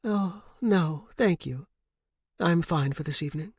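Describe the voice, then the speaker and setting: A frail, wistful old widow, as played by a male narrator, speaking high and husky in a dry storybook recording. She is genteel and devoted, lingering tenderly over formal phrases. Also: measured pace, a little mellow, no echo. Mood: polite, apologetic. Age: older. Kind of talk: audiobook character reading.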